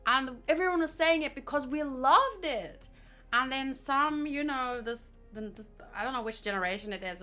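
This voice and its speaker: A young woman, her Afrikaans, talks through an episode review in a rambling, quick way. Her voice sits a touch high and jumps up when she gets excited. Faint music plays behind her. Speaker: anime reaction vlogger. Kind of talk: casual review chat.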